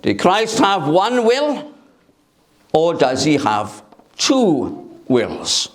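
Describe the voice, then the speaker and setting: A man preaching a sermon in a church through a microphone, speaking loudly and emphatically and asking rhetorical questions. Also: fairly high pitch, wildly swooping pitch, very slow. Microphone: amplified by a microphone.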